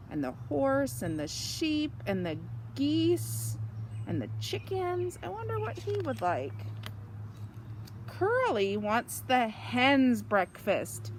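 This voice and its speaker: Female children's story reader, high and very melodic delivery, expressive and simple, with light background noise. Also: rough recording. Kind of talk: animated read-aloud of a children's picture book.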